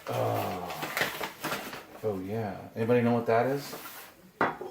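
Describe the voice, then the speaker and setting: A man's voice: lowish voice, fairly even intonation, slow. A man giving casual, rambling, laid-back unboxing commentary as a hobbyist host, muttering to himself as he goes. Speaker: video host, hobbyist radio collector. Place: indoor room, handling items from boxes. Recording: echoey room with clear noise and clicks.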